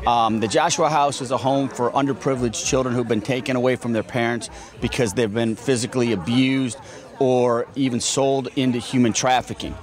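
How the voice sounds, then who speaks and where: A man's voice: medium pitch, fairly even intonation, brisk. A man answering interview questions earnestly, explaining the charity his firm sponsors. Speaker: law firm partner and charity board member.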